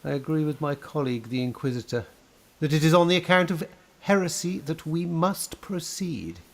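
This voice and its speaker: Radio drama: male actor as a stern, learned bishop, declamatory and forceful, with widely varied intonation. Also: fairly high pitch, expressive, normal speed. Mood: curt, dismissive. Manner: formal, declamatory. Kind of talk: radio play acting.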